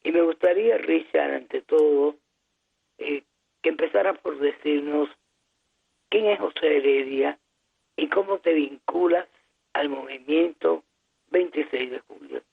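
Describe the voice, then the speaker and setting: A woman hosting a political talk show, speaking in a measured, formal way with frequent "eh" pauses. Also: low voice, creaky, slightly rough. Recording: tinny phone-like broadcast audio.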